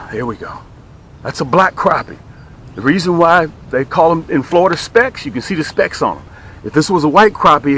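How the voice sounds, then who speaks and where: A man with a fairly high voice and very lively, swooping intonation hosts a fishing vlog. He talks in exclamations and repeats phrases for emphasis. He is outdoors, with clear background noise around him. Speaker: fishing show host. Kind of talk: excited fishing vlog chat, demonstrating and selling tackle.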